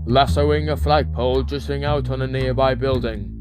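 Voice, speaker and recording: A man's voice: mid-range voice, fairly even intonation, normal speed. A man reading scripted, dramatic comic book narration as the storyteller. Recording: music clearly behind the voice.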